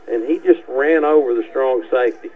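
A man's voice: mid-range voice, fairly quick, husky, phone-quality sound, faint music behind. A man giving folksy, colorful, conversational football commentary as a radio analyst on a headset. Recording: band-limited radio broadcast sound.

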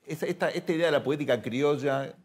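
A man's voice: a little high, fast, breathy edge. A middle-aged man with an Argentine accent, hosting a talk show in a TV studio and asking conversational, probing questions. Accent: Rioplatense Spanish. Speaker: host.

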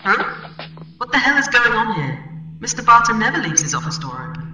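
A woman's voice: fairly high pitch, sing-song, fairly quick, sharp tone, full character voice, rough recording. A woman playing an anxious, dutiful, deferential assistant to a villain in a horror comic dub. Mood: puzzled.

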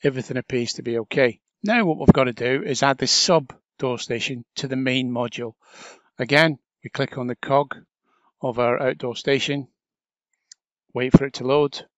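Male trainer, medium pitch, informal step-by-step explainer delivery, dry lo-fi voice-over recording. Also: moderate pace, no echo. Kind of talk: casual step-by-step software tutorial narration.

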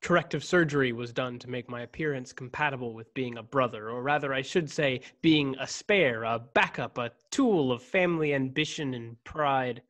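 A man's voice: medium pitch, fairly bright tone, lightly acted. A man in his thirties playing a brooding, stiffly formal clone in a science-fiction radio play. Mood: bitter, restrained. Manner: measured, stiffly formal diction. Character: brooding, formal young man, secret clone of noble family. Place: home room on video call. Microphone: desk condenser microphone, headset.

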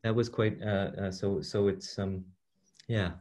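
A middle-aged man speaking as a translator over a video call. His voice is very low. He talks casually and haltingly, restarting his sentences and filling pauses with ums. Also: fairly even intonation, darkish tone. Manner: hesitant, many fillers and restarts. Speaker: translator guest. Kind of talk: casual conversational talk. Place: room at home with bookshelves.

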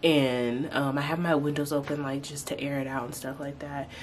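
A woman's voice: rumbling low, flat delivery, normal speed, a little hiss or hum. A young woman vlogging casually from her bedroom, talking close to the camera and rambling with lots of "like" and "um". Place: bedroom at home, indoors. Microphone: camera close in front of her face.

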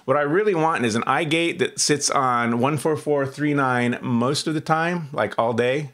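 A man in his fifties hosting a casual, relaxed tutorial from a small home radio room, chatting and thinking aloud as he demos on screen. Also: medium pitch, normal speed. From Oregon, US. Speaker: amateur radio channel host.

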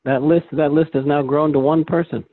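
A man's voice: fairly high pitch, fairly even intonation, normal speed. A man bantering casually and wryly with fellow club members on a call. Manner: wry, teasing. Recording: thin phone-like call, little echo.

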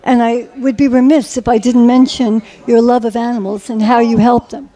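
A middle-aged woman holding a handheld mic, interviewing guests on the red carpet of an indoor gala. Her voice is high yet soft and mellow, and she asks her questions in an upbeat, affirming way. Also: level tone, moderate pace, low-quality recording. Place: indoor gala press wall.

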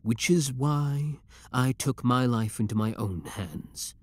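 A man playing an obsessive, menacing young villain with cold devotion, speaking deliberately and theatrically in a scripted ASMR roleplay. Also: medium pitch, a little mellow, no echo. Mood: brooding resolve.

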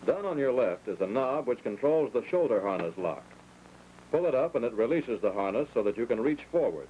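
An American man speaks as a flight instructor in a wartime-era training film, at an average male pitch. His delivery is scripted and orderly, taking each step in turn. The old 1940s soundtrack is dull and plainly noisy. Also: very animated, brisk.

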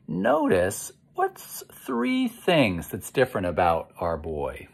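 Slow-paced American man reading to children. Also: low-pitched. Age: 50s. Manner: slow, expressive, encouraging, pausing to ask questions. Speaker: children's read-aloud host. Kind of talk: picture book read-aloud with questions to young listeners. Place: indoor room at home.

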